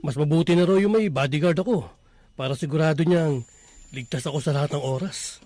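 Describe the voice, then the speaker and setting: Guy doing filipino son in radio drama, polite easygoing engineer, bit husky, talks low-key. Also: brisk, warm tone, no echo, close to an everyday voice. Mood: easygoing, amused. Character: respectful grown son, an engineer, easygoing and devout.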